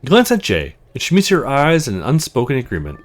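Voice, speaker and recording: A man's voice: medium pitch, brisk. A man narrating a visual novel playthrough expressively, reading aloud with casual asides. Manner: expressive, acts out the dialogue. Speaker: let's player.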